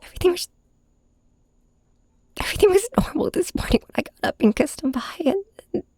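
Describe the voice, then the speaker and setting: A woman playing a heartbroken young superhero in an intimate ASMR audio drama, confiding in a villain with halting, raw emotion in a breathy, whispery voice. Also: very high-pitched, animated, darkish tone, no echo, studio quality. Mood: anguished, tearful. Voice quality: breathy, often dropping to a whisper. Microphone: close microphone, dry.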